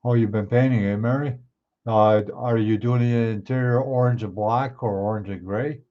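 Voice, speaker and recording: A man hosting a livestream, chatting casually with viewers and answering their comments, his voice a bit hoarse. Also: medium pitch, fairly even intonation, normal speed. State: hoarse, clearing his throat. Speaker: livestream host. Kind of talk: casual chat with live viewers. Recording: somewhat dull, lo-fi stream audio.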